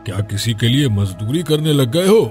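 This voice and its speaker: A man's voice: medium pitch, very animated, normal speed, darkish tone, faint music behind, natural voice. A man playing a nosy, suspicious villager in a scripted cartoon moral story. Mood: curious, probing.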